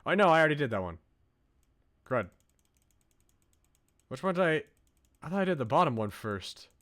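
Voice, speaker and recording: A man's voice: a little high, very animated, moderate pace, a bit bright. A man chatting casually as he streams a game. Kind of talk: casual livestream chat while playing a visual novel. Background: game music.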